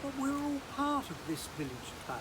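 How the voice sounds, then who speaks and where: A performer playing a playful village narrator, delivering an arch, deliberate rhyming prologue to an audience in a theatrical monologue. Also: sits high, very animated. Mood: teasing. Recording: noisy amateur online recording, rain-like hiss.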